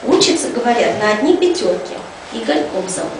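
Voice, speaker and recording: A woman's voice: fairly high pitch, very animated, brisk. A woman voicing a chatty, folksy school cleaning lady who tells gossipy tales to children, in colloquial language. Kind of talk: storytelling to children. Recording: echoey room, distant mic, noisy.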